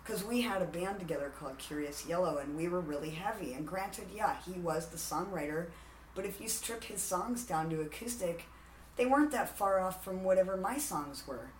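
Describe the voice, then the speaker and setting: A man with a raspy voice, deepened by testosterone, talking candidly and animatedly as a musician guest in a casual interview. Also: normal speed, roomy sound, slight background noise, poor audio. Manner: candid, animated, frequent "like" fillers.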